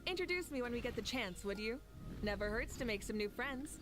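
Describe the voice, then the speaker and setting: Bold, playful fiery leader voiced by a woman, high and lively, breezy command, game character. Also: a little fast, over music, lightly acted. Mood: amused. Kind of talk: game dialogue.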